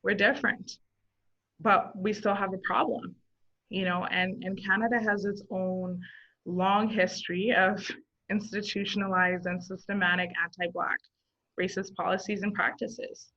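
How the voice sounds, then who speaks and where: 30s woman from zimbabwe, earnest guest chat, lots of fillers, bright tone, kinda muffled lo-fi audio. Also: medium pitch, little pitch movement, measured pace. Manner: earnest, thinks aloud with frequent fillers. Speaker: guest, social worker. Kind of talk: casual conversational interview. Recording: dull lo-fi sound.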